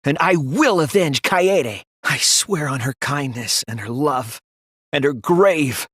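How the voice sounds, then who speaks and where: A man voicing an earnest, analytical teenage boy detective in an English video game dub, speaking in a deliberate, resolute way. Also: fairly high pitch, animated, fairly bright tone, husky, dry recording, clean studio sound, exaggerated voice. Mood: grim resolve. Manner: deliberate, earnest, explanatory delivery.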